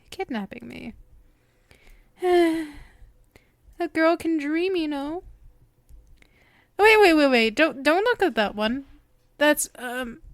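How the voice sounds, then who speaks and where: A woman's voice: a little high, no echo. A woman playing a sweet, obsessive witch in an ASMR roleplay, coy and affectionate, speaking to the listener close to the microphone. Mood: flustered, coy. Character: sweet, doting, obsessive yandere witch, playful and lovestruck.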